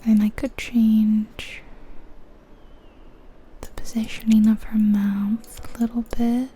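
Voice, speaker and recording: A woman whispering softly and breathily in a gentle, unhurried ASMR style, chatting casually as she thinks aloud over a game. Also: fairly high pitch, flat delivery, husky. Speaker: ASMR video creator, host.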